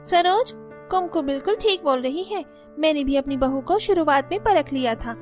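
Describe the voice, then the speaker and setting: A woman's voice: high voice, lively intonation, normal speed, soft background music. A woman voicing gossipy middle-aged neighbor women who chatter nosily. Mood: nosy. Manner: nosy, chatty.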